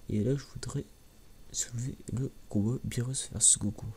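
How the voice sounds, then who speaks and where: A man gives a casual, rambling ASMR-style commentary in a soft, hushed, hesitant voice, often repeating words. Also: mid-range voice, fairly even intonation, moderate pace. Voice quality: soft, hushed voice, sometimes near a whisper. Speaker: host of an anime review video. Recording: clearly audible background noise.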